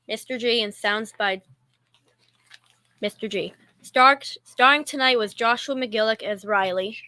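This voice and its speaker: A woman's voice: a little high, pushed and bright, no echo. A woman announcer reading scripted radio show credits carefully, with a few stumbles, as an amateur.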